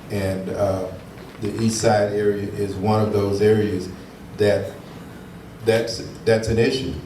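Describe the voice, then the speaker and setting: Brief: low-pitched man, emphatic nonprofit speaker, echoey hall from a distance. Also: dark tone, a little hiss or hum. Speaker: nonprofit representative. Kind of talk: town hall response. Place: town hall meeting room. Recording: echoey room from far off.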